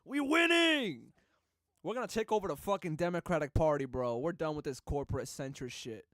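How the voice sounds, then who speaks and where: A man hosting a livestream, reacting excitedly and switching between English and Spanish, with English as his first language. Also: a little high, moderate pace, no echo, clean studio sound. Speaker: livestream host. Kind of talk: casual reaction commentary.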